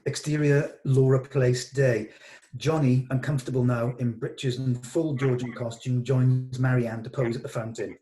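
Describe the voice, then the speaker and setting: A man reads out screenplay directions during a rehearsal. His voice sits at a middle pitch, and he narrates scene headings and action for the actors. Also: steady tone, moderate pace, mellow, poor audio. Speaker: reader of stage directions, running the rehearsal. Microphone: video call.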